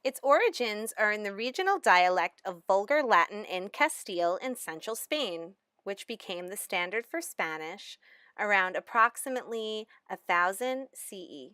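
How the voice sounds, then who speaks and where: A woman giving a measured, explanatory lecture narration as a language instructor. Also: mid-range voice, expressive, sharp tone, clean tone, no room sound, studio quality.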